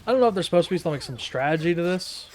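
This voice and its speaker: A man with a slightly high voice comments casually on a game he is playing. He is laid-back and slangy, talking like a streamer to viewers. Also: animated, racing, darkish tone, faint music behind. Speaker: gaming streamer.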